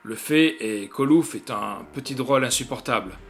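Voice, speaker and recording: A man narrating a literary short story in an expressive, theatrical way with deliberate phrasing. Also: medium pitch.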